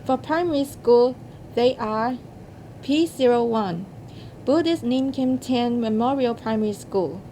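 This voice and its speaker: Mellow, higher-voiced woman making an announcement. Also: a little slow, a little hiss or hum, low-quality recording. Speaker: announcer co-hosting a results announcement. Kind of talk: scripted announcement.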